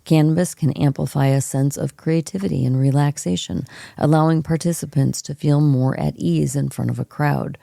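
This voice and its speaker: A woman co-hosting a podcast with a hoarse, froggy voice, reading an article aloud in a relaxed, unhurried way. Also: deep voice, no echo, studio quality. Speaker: co-host. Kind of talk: reading an article aloud, then casual chat.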